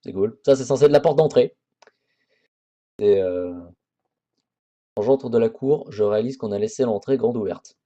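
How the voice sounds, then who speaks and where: A man's voice: mid-range voice, normal speed, long pauses, warm tone. A man hosting a let's play, chatting casually over a horror visual novel with crude jokes and frequent restarts. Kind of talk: casual game commentary.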